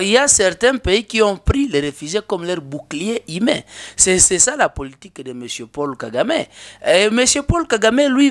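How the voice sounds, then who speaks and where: A man in his thirties speaking as a guest in a political studio interview, emphatic, with rhetorical questions and frequent hesitations. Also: high voice. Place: small indoor studio. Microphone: desk mic on stand.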